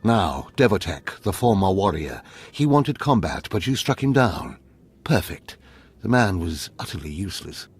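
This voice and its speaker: A stern Sith taskmaster in a video game. His voice is fairly low and rough, his phrasing clipped and authoritative, with little patience for anyone. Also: sing-song, normal speed, full character voice. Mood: stern, approving. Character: stern, impatient male Sith overseer, ruthless mentor. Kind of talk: video game cutscene acting.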